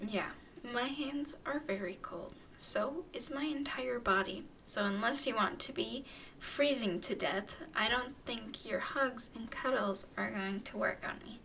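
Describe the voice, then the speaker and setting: Woman voicing a slow-talking, haughty vampire girl. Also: a little high, fairly bright tone, a little hiss or hum. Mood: exasperated. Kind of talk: ASMR roleplay, acted monologue to listener.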